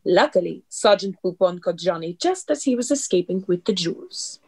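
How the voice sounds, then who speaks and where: A woman playing a poised, clever club performer in a radio play, explaining things with deliberate dramatic delivery. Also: on the low side, lively intonation, a little mellow, husky. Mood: triumphant explaining.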